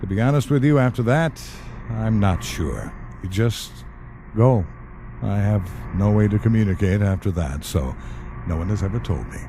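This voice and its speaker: A man acting a calm, solemn afterlife agent in an audio play, measured, gentle and matter-of-fact. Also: fairly low pitch, noisy background. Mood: gentle, reassuring.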